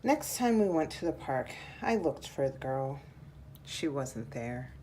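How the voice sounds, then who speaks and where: A woman reading a children's picture book aloud in a measured, deliberate storytelling style. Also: rumbling low, sing-song, mellow, slight background noise.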